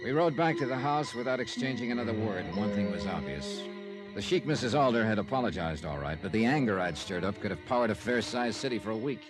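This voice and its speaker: An actor playing a shrewd, wry, hardboiled private investigator with an American accent in an old-time radio detective drama. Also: male voice, lowish voice, lively intonation, fast, natural voice. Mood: wry narration. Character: shrewd, sardonic male private investigator narrating his case. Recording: vintage radio recording with music.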